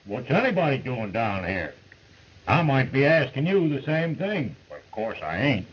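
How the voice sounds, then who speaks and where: A man's voice: mid-range voice, big pitch swings, moderate pace, breathy edge, muffled recording. An actor playing a joking, folksy frontier man with a wry, watchful manner, on an old film soundtrack.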